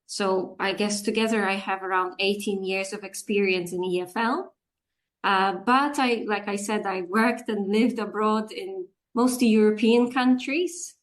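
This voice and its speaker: A woman in her forties from Poland, chatting as an interview guest about her work, with frequent laughs and fillers. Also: medium pitch. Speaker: guest, business English communications coach. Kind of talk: casual interview chat.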